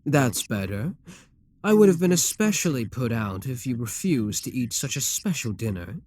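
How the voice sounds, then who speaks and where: A man voicing a possessive, scheming demon king who talks to the listener in a calm, coaxing, self-assured way. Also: medium pitch, moderate pace, no echo. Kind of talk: audio roleplay addressed to the listener.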